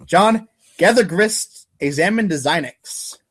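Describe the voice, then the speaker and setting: A man's voice: high-pitched, lively intonation, slow pace, husky. A man reading scripted comic narration as the narrator of a reader's theater show.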